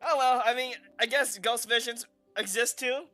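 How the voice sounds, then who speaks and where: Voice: mid-range voice, normal speed, bright, forceful tone, soft background music. A gamer musing casually to themself while playing, in chatty commentary.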